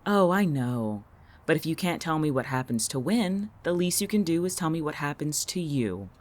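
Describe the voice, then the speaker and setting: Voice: medium pitch, animated, normal speed, lightly acted. An actor in an audio drama playing an irritable, sardonic, coolly calculating town resident with a dry, biting wit. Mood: probing, cold. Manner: biting, sarcastic, dry-witted.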